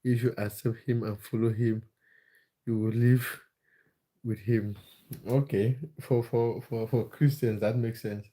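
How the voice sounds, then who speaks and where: A man's voice: medium pitch, fairly even intonation, measured pace, dark tone, low-quality recording. A man from Cameroon hosting a casual livestream, reading viewer comments aloud and answering them with plenty of fillers and repeated words. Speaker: livestream host answering chat. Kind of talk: casual livestream chat about football.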